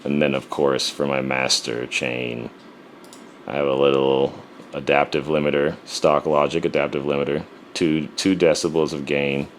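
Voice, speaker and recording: A man's voice: extremely low pitch, a little hiss or hum. A man who raps and produces, casually walking through his mixing steps with a profane, joking swagger. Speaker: rapper and music producer. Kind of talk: casual music production walkthrough.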